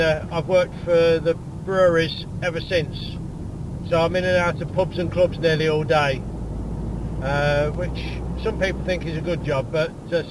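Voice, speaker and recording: A British man in his sixties, chatting casually as an amateur radio operator over a noisy radio app link. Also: fairly high pitch. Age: 60s. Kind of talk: casual ham radio conversation.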